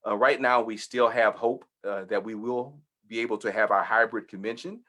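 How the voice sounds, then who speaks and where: A middle-aged man with a Texas accent presenting an informational church webinar over a video call, speaking haltingly with many "uh" fillers. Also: on the low side, steady tone, a bit bright. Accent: Texan. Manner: halting, frequent "uh" fillers and restarts. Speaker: church executive hosting a webinar.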